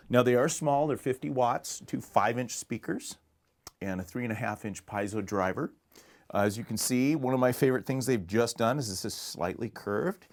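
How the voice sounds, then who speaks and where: A middle-aged American man giving a casual, unscripted product demonstration in a chatty, rambling, conversational way. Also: lowish voice. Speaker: music store product presenter.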